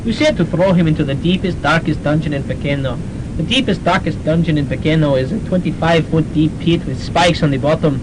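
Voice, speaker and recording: A man playing a deadpan, obedient palace guard in a radio comedy. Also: fairly quick, lightly acted. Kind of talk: campus radio comedy drama acting. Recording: noisy rumbling student radio recording.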